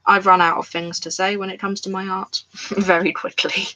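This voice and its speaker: Woman streaming from home, bright voice, loose rambling chat with viewers. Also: mid-range voice, flat delivery, normal speed, poor audio. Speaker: crafts livestreamer. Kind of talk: casual live-stream chat. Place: at home, indoors.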